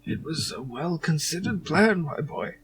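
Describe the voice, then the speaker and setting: Man playing a slow, remorseful old father. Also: on the high side, lively intonation, fairly bright tone, slight background noise, voice pushed up, rough recording. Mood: weary confession. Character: frail, remorseful old father, dying megalomaniac scientist. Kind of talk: hobby voice acting of adventure game dialogue.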